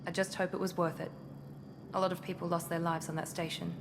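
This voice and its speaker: An actress playing a confident, critical covert operative in a video game, speaking in an aloof, self-assured way. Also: female voice, fairly low pitch, little pitch movement, fast. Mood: cool, wary. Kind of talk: video game cutscene acting. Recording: clear ambient noise behind.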